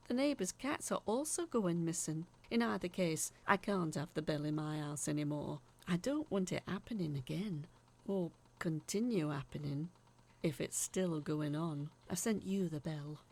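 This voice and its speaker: Woman, breathy and creaky, uneasy confiding narrator, first-person spooky audio story. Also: fairly low pitch, darkish tone.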